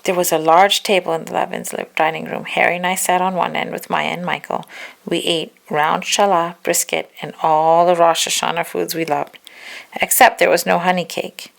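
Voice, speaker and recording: A woman reading a children's story aloud in an expressive storytelling style. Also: on the low side, moderate pace, creaky. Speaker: storybook reader.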